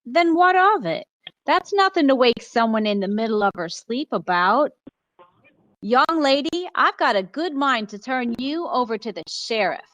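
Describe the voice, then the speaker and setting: A woman's voice: high-pitched, lively intonation, moderate pace, fairly bright tone, low-quality recording. A woman playing a grumpy, suspicious rural stand keeper in an amateur radio play, with a folksy, scolding manner. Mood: indignant, scolding.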